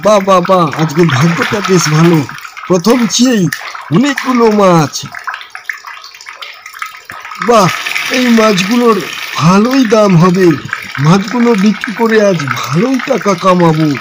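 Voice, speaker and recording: Sly pond watchman, male actor, cartoon villain: greedy, scheming, very high-pitched. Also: moderate pace, background noise, natural voice. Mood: gloating, urgent. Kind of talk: cartoon dialogue.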